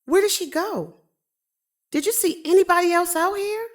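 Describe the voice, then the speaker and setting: An actress playing a driven, indignant engineer recruit in an audio drama, speaking in emphatic, insistent demands. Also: female voice, very high voice, moderate pace, no echo, studio quality. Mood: frantic, irritated. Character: Harper, driven, indignant woman engineer recruit, easily exasperated.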